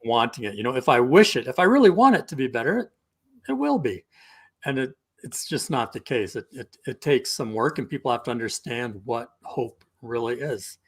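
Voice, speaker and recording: A man's voice: mid-range voice, expressive, moderate pace, husky. A middle-aged man talking as a couples coach on a live video call, explaining things conversationally with examples. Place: indoor room, video call.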